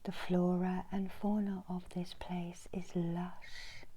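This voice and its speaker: A middle-aged woman narrating an ASMR guided meditation in a slow, hushed, soothing manner, often slipping into whispers. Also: lowish voice, flat delivery. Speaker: guided meditation host, Reiki healer. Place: indoors in a small room. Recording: clear background noise and ambience.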